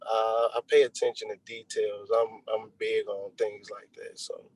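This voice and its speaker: A man's voice: fairly low pitch, little pitch movement, normal speed, darkish tone, a little hiss or hum, low-quality recording. Male interview guest, laid-back and reflective, casual conversational delivery. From Texas, United States. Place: remote video call.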